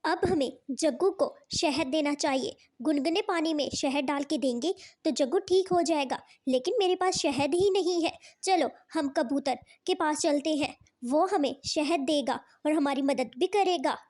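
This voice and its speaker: Very high-voiced fretful mother bird played by a woman. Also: moderate pace, few pauses, a little mellow, natural voice. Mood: concerned. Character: caring, scolding female bird, mother and neighbour.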